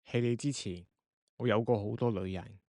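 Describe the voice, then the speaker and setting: Radio drama husband male actor: low, earnest voice, evasive and remorseful, recorded close and dry. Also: moderate pace, a little mellow, no echo, close to an everyday voice. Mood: earnest, guilty. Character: guilty, evasive husband confessing his secrets. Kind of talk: Cantonese radio drama acting.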